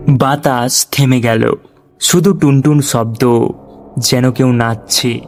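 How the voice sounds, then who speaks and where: A man narrating a scripted ghost story for an animated horror tale. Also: medium pitch, takes it slowly.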